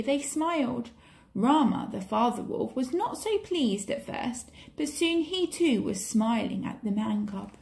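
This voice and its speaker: A woman's voice: fairly high pitch, mellow. A woman reading a children's story expressively, like a teacher to pupils.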